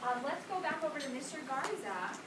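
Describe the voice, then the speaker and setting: A high-pitched woman teaching a music class, quizzing the children and drawing out their answers. She is picked up from across a reverberant classroom. A loud hiss of noise runs under her voice. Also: expressive, brisk, recorded from a distance. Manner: questioning, coaxing children to answer. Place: school classroom.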